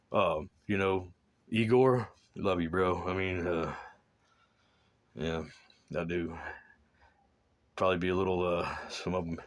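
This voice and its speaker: A man from South Florida talking in a rambling personal monologue, halting and full of restarts, often trailing into low mumbles. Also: fairly bright tone. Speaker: man recounting his life.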